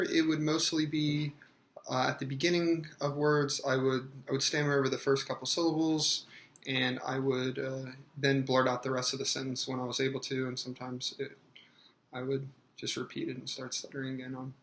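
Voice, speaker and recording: A man in his thirties answering interview questions hesitantly, with many fillers and pauses. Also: medium pitch, little pitch movement, fairly bright tone, echoey room. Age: 30s.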